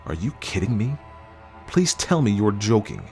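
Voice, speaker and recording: A man's voice: lowish voice, very animated, normal speed, soft background music, pitched above the performer's own voice. A man voicing a domineering, germ-phobic husband who speaks angrily and hits his syllables hard in an audiobook story. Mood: bitter, angry. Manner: shouting, hitting syllables hard.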